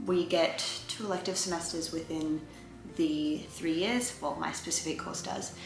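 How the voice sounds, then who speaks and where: Aussie girl in her 20s doing a casual life update vlog, echoey room, bit of music behind her. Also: fairly low pitch. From Australia. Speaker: vlogger giving a life update. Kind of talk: casual chat to viewers. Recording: roomy echo, faint music behind.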